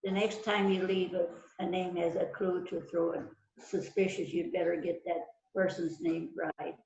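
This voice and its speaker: A woman reading the part of a probing, self-assured detective in an amateur readers theater, halting as she follows the script. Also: on the low side, level tone, creaky, darkish tone. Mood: stern. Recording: roomy echo, dull muffled sound, noisy.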